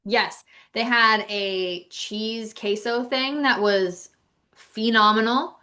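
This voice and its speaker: A woman's voice: fairly high pitch, slow pace, bright, forceful tone. A woman co-hosting a travel podcast, talking in a chatty, informal way and giving her opinions as she goes. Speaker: podcast co-host. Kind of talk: casual podcast chat about travel.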